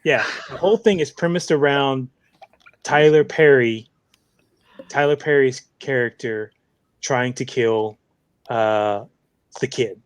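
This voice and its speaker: A man's voice: mid-range voice, slow pace, long pauses, smooth, no echo. A man co-hosting a casual chat, talking hesitantly and searching for his words. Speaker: podcast co-host.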